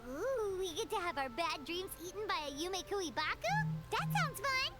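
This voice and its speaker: Tiny squeaky fairy sidekick, super high, eager, loud game music behind. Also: female voice, big pitch swings, moderate pace. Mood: excited. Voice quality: squeaky, childlike. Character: small perky childlike fairy companion, eager and caring.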